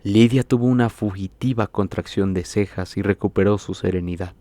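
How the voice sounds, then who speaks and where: A man narrating a literary story in a measured, scripted audiobook reading. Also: fairly low pitch, mellow, no room sound.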